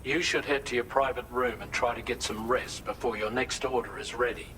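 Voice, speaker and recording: An actor playing an earnest, formal male officer giving a measured, precise briefing in a video game. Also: medium pitch, fairly even intonation, sharp tone, recorded from a distance, slight background noise, lightly acted. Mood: grave, businesslike. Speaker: mission commander in a game.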